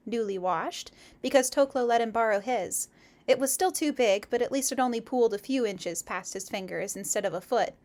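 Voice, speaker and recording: A woman hosting a casual livestream read-aloud, chatting and reading in an expressive, effusive, giggly way. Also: on the high side. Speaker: livestream host reading fan fiction aloud.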